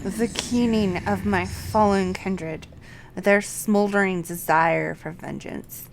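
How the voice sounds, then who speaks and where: A woman voicing a vengeful ancient dragon, reading archaic game dialogue aloud in a loud, declamatory way. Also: medium pitch, steady tone, takes it slowly, vocal fry, a little hiss or hum, rough recording. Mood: wrathful.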